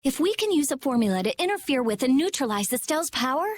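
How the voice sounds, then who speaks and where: A woman's voice: high voice, sing-song, quick pace. A woman playing a brainy, intense, stubborn teenage girl mage in an anime-style video game. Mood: intent.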